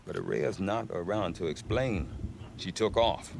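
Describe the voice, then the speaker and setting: Wry, deep-voiced trader man. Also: expressive, unhurried, vocal fry, background noise, exaggerated voice. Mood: wry, grumbling. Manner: rambling, wry, folksy. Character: chatty middle-aged trader man, wry, folksy, flustered father. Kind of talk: video game dialogue acting.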